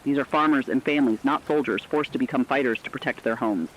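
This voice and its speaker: A man's voice: mid-range voice, fast, natural voice. A man playing a solemn, earnest radio commentator, giving rhetorical scripted commentary during an emergency broadcast. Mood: somber, concerned. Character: solemn, humane male radio commentator in an apocalypse. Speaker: radio co-host. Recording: dull, lo-fi radio sound.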